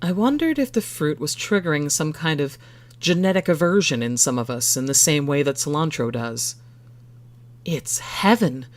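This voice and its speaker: A storyteller reading a first-person short story in a measured, expressive way. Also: mid-range voice.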